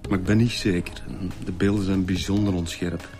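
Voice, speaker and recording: Actor as a low-voiced Flemish detective reporting. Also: male voice, level tone, moderate pace, lightly acted. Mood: explanatory. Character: matter-of-fact, colloquial male detective reporting evidence. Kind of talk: radio drama acting. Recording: music under the dialogue.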